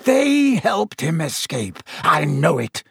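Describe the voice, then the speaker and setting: A bitter, raging male schoolmaster, voiced by a storybook narrator who howls his accusations. His voice is gravelly and deeply raspy, slow and swinging widely in pitch. The sound is dry and close. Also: very animated, a bit bright, dry recording, exaggerated voice. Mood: furious. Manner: roaring, howling, seething.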